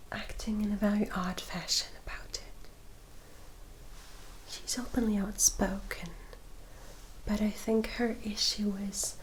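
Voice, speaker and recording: A woman voicing a gentle, loyal young vampire in a whispered ASMR roleplay, speaking in a careful, slightly formal way. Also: mid-range voice, rough recording.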